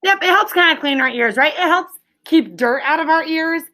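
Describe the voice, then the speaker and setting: A woman's voice: very high voice, moderate pace, sharp tone, faint music behind. A woman teaching a class as a schoolteacher, explaining in simple phrases and prompting pupils with leading questions in an encouraging way.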